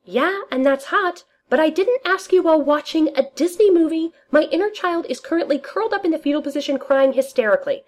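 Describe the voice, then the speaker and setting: A woman voicing an outspoken young woman, loud, high and exasperated. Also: expressive, brisk, hardly any pauses.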